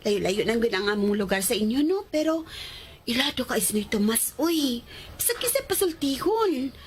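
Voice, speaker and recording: A young Cebuano Filipino student in a radio drama, with a fairly high voice. She is chatty and a little coy, circling her point haltingly like someone sharing gossip. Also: big pitch swings, slightly rough. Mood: coy, gossipy. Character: Kim, chatty, hesitant young woman, a graduating student.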